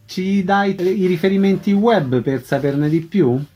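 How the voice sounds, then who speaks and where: A man's voice: high voice, a little slow, mellow, breathy edge. A man hosting a radio show, introducing guests and interviewing them.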